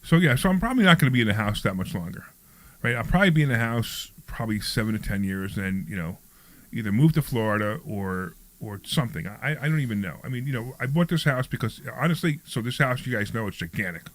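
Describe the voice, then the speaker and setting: A man's voice: mid-range voice, expressive, quick pace, low-quality recording. A man in his early sixties hosting a casual talk show, rambling and jokey as he repeats himself. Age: early 60s. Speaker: radio show host and real estate investor.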